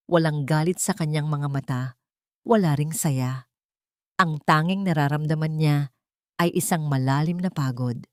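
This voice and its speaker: A woman with a Filipino accent reads a dramatic story in a solemn, measured way. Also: deep voice, darkish tone, no echo, clean studio sound. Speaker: audiobook narrator. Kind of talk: dramatic scripted storytelling narration.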